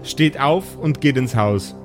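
A man's voice: medium pitch, expressive, takes it slowly, soft background music. A man narrating an improvised tabletop audio play as its game master.

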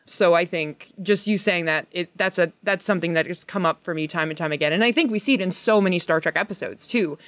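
A woman podcast guest with a slightly low voice. She talks thoughtfully and earnestly, feeling her way through phrases, in a close, dry recording. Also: fast talker, hardly any pauses, fairly bright tone, dry recording. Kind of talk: conversational discussion.

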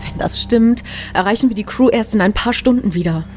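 An actress playing a worried mission control operator in an audio drama. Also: female voice, mid-range voice, a little fast, darkish tone, a little hiss or hum, natural voice. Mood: worried, hesitant.

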